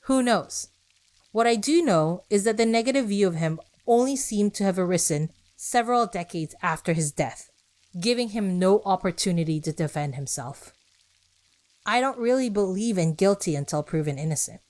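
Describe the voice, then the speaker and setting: A woman's voice: mid-range voice, lively intonation, moderate pace, a little mellow. A woman podcast host reading scripted narration with personal asides, speaking conversationally and directly to her listeners.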